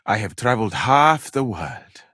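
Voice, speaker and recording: An actor playing a courteous, learned physician from Mali in a medieval video game, speaking in formal, measured phrases with gentle gallantry. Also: male voice, mid-range voice, lively intonation, slightly rough, no room sound, studio quality, exaggerated voice. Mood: gentle, admiring.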